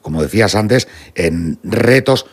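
Man, fairly low pitch, husky, interviewer, hesitant measured phrasing, conversational. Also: expressive. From Murcia, Spain.

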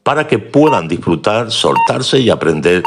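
A man narrating a news report in a measured, formal voice. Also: on the low side, big pitch swings, very noisy.

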